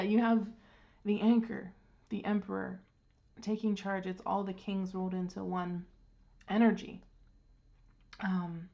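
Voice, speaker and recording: A woman with a congested throat gives a casual tarot reading, unhurried, clearing her throat and pausing on frequent ums. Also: medium pitch, dark tone, lo-fi sound. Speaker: tarot reader.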